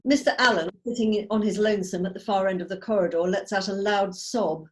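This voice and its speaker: Casting: woman narrator, medium pitch, scripted stage directions, table read on a video call. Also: steady tone, a little fast.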